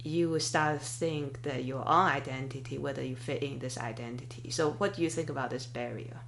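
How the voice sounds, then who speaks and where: A woman's voice: very deep voice, fairly even intonation, brisk, gravelly, slight background noise. A woman in her thirties hosting a podcast interview, speaking thoughtfully and hesitantly, with fillers and restarts as she searches for words. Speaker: podcast host. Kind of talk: conversational interview.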